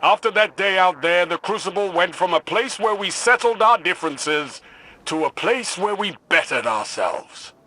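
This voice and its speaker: A proud warlord who runs a combat arena in a video game. His voice is raised high and cuts sharply, every phrase emphatic and grandiose. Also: expressive, normal speed, pushed and bright, full character voice. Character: booming, proud male warlord arena master.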